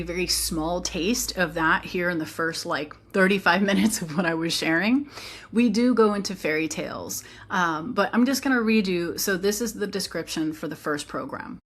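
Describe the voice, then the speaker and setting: Woman with a lowish voice talking thru her online course, earnest, chatty asides. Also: a bit bright. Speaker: teacher presenting an online program. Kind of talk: informal course presentation.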